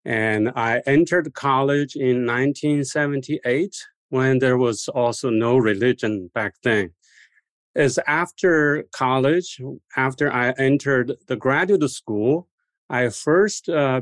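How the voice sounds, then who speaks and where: A man in his sixties from China, a scholar of religion, giving measured, explanatory answers in an interview. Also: medium pitch, dry recording.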